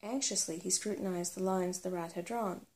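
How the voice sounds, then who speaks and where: A woman's voice: fairly low pitch, steady tone, moderate pace, a little mellow. A woman reading a children's fantasy novel aloud as ASMR storybook narration. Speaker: storybook reader.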